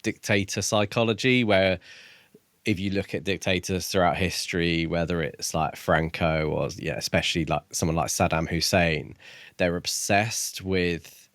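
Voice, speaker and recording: A man's voice: low-pitched, fairly even intonation, moderate pace, a bit bright, dry recording, clean studio sound. A man co-hosting a podcast, talking casually and reflectively as he pieces together an analysis of a book. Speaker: podcast co-host.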